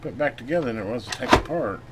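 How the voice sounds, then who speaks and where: A man giving a casual hands-on repair demonstration, folksy and unhurried as he talks while working. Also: medium pitch, animated. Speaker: channel host doing electronics repair. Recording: noisy room, clearly audible background noise.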